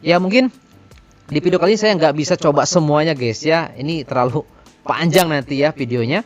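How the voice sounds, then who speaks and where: Guy doing a casual tech demo, slightly high voice, muffled sound, faint music. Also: a little fast. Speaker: reviewer and tutorial host.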